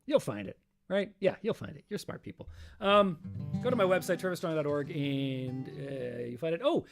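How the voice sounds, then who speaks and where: A middle-aged man with a raspy, practice-tired voice, hosting a live stream and chatting in a rambling, self-deprecating way between songs. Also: fairly high pitch, lively intonation, moderate pace, over music.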